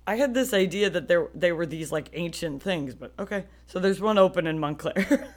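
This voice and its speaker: A woman's voice: lowish voice, expressive, moderate pace. An American woman co-hosting a podcast, telling stories in a casual, slangy, self-deprecating comic way. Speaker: podcast co-host. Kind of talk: casual comic storytelling chat.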